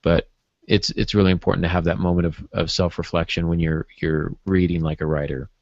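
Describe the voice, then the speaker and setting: A man co-hosting a podcast, chatting casually and giving advice in a measured, reflective way. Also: deep voice, flat delivery, creaky, a little mellow, no room sound. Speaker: podcast co-host, writer. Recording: dull, dry lo-fi podcast audio.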